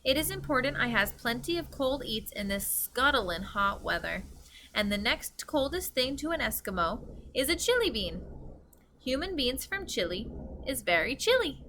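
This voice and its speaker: A woman voicing a brutish, scornful male giant in a storybook, shouting in garbled comic grammar. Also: high-pitched, big pitch swings, moderate pace, slight background noise, pitched above the performer's own voice, heavily acted, low-quality recording. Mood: gloating, scornful.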